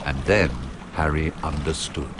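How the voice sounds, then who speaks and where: A man narrating video game cutscenes in a scripted, storybook style, slow and dramatic. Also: extremely low pitch, steady tone, background noise. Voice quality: slow and dramatic, with big swings in the voice.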